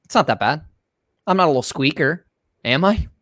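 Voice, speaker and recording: A man's voice: fairly high pitch, lively intonation, brisk, a bit bright, no room sound, studio quality. A man talking casually on a livestream in his own voice without the changer, joking and swearing.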